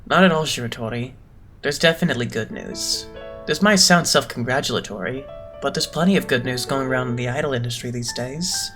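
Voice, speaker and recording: A composed young male idol leader, played by a man, speaking with measured, lofty politeness in anime dub style. His pitch is middling and his delivery smoothly condescending. Plucked guitar music plays clearly underneath. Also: bright, forceful tone, lightly acted. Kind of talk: anime-style fandub voice acting.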